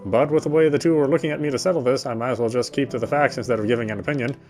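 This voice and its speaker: A man hosting a let's play, reading visual novel narration aloud in an expressive storytelling manner. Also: medium pitch, very fast, soft background music.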